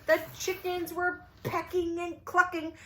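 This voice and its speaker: A woman telling a folktale at a children's storytime, playful and animated, inviting the kids to join in. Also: very high voice, unhurried, slight background noise. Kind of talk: storytime read-aloud for kids.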